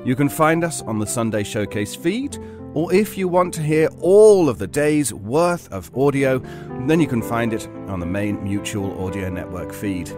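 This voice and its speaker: A man's voice: medium pitch, animated, moderate pace. A man reading a promotional announcement for a podcast network. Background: guitar music.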